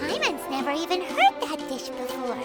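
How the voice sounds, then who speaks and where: Childlike excitable game fairy, very high, animated, refers to self in third person. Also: female voice, normal speed, loud music behind the voice. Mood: puzzled. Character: tiny high-pitched childlike floating fairy companion, excitable and blunt. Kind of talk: video game voice acting.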